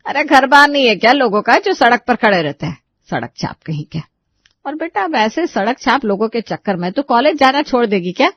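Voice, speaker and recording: A woman's voice: on the high side, big pitch swings, a little fast, no echo. Actress as a protective story mother, middle-aged, emphatic scolder, close muffled sound. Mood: indignant. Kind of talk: animated story dialogue.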